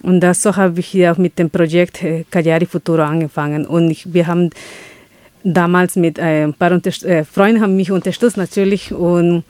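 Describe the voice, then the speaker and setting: A woman's voice: lowish voice, fairly even intonation, fairly quick, darkish tone, no echo. A woman from Ecuador with an Ecuadorian Spanish accent and Quechua as her first language, answering interview questions as she searches for words and often restarts her sentences. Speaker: guest, chocolate business founder.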